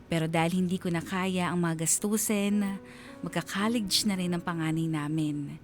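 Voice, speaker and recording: Reader as anxious, wounded Filipino husband narrating. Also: male voice, high voice, fairly even intonation, moderate pace, natural voice. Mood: worried, heavy-hearted. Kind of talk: dramatic letter narration on Filipino radio. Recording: music bed behind voice.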